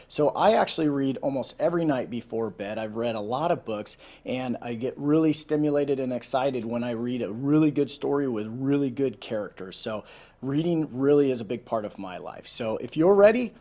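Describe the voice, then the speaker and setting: A man's voice: medium pitch, dark tone. A man presenting and reading a children's story aloud as a storyteller, talking informally and directly to listeners. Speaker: volunteer storyteller.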